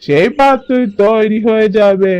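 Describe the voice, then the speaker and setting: A bright-voiced village potter. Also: male voice, high voice, measured pace, clean tone, dry recording, dull audio. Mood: proud. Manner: eager, excited calls to his wife. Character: poor village man, a potter. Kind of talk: cartoon voice acting.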